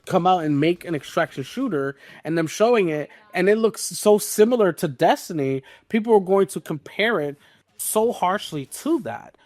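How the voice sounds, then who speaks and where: A man's voice: a little high, dry recording. A man co-hosting a casual gaming podcast, talking emphatically and repeating his points for stress. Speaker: podcast co-host.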